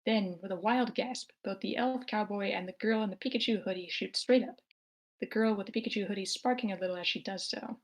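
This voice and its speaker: A woman's voice: medium pitch, fairly quick. A woman narrating scripted stage directions for a live screenplay table read. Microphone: remote online meeting.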